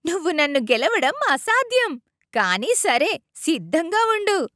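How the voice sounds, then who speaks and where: Woman voicing a proud, high-pitched storybook crab. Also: very animated, a little slow, a bit bright, no echo, studio quality, exaggerated voice. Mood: boastful. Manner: taunting, challenging. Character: proud, boastful, fast-running crab.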